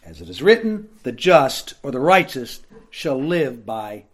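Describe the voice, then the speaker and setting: A man gives a Bible lesson to a group in a room, his pitch sitting in an ordinary middle range. He talks slowly and deliberately, explaining things plainly and stopping often to make sure his listeners are following. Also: big pitch swings. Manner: conversational, explains plainly, keeps checking in with listeners. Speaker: Bible teacher. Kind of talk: verse-by-verse Bible teaching to a live group.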